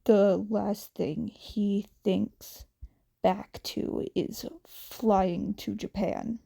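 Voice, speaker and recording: A grumbling, no-nonsense male hero acted by a woman reader from an audio story. He speaks tersely, with a creaky edge and a subdued, mellow tone. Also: mid-range voice, fairly even intonation, extremely slow, full character voice. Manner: gruff, terse. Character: grumbling, stern adult male underground hero.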